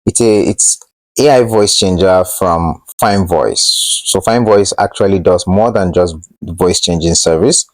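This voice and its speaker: Male tutorial host, low register, informal and slightly hesitant delivery, dry room-free sound. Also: unhurried, no echo, low-quality recording. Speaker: host of a tech tutorial channel. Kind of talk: casual screen-share tutorial narration.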